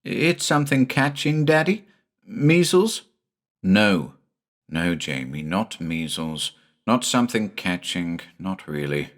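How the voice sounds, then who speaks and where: A man voicing a frightened country doctor who speaks gently and carefully to his young son. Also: low-pitched, very animated, takes it slowly, full character voice. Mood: gentle.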